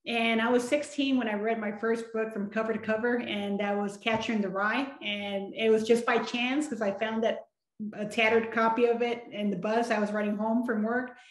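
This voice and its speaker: A woman in her forties from San Antonio, moderating a panel over a video call, conversational and quick to laugh. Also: on the high side, steady tone. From San Antonio, Texas. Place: small room at home. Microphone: video call webcam. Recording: echoey room on video call.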